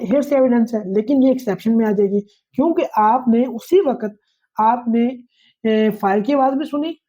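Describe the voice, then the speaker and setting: Woman, Pakistani accent, fairly high voice, soft mellow tone, didactic law lecturer, repetitive explanations. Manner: didactic, repeats phrases, checks in with listeners often. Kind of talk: explanatory lecture, reading statute aloud and paraphrasing.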